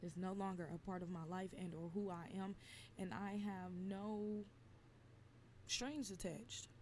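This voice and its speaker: A woman in her twenties, slightly raspy, venting bluntly and emphatically in a casual solo talk. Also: medium pitch, fairly even intonation, a little slow, a little hiss or hum. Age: 20s. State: slightly raspy from lack of sleep. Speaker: podcast host.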